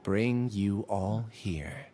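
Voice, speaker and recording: A man's voice: on the low side, extremely slow, mellow, soft background music. A man voicing a solemn, resigned young scholar in a video game, speaking formally and earnestly.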